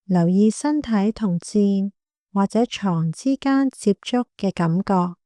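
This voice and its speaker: Female meditation guide, Hong Kong Cantonese accent. Gentle and calm, dark relaxed tone, very unhurried delivery. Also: mid-range voice, dry recording, studio quality. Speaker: meditation guide, clinical psychologist. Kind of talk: guided body scan meditation.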